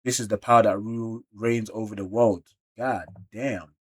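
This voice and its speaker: A man with a London accent giving an excited, slangy read-along reaction to manga, full of exclamations. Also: fairly low pitch, normal speed, husky. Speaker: YouTube reactor.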